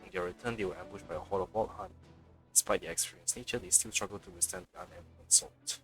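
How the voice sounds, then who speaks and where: A man's voice: deep voice, fast talker, fairly bright tone, soft background music. A man doing casual let's play commentary and reading game text aloud as a streamer.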